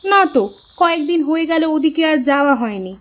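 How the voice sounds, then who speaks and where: A woman's voice: sits high, lively intonation, a little fast, slight background noise. A woman voicing a spirited, plain-spoken village fisherman's wife in a cartoon story. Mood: concerned, defiant. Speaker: cartoon character voice. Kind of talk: Bengali cartoon story dialogue.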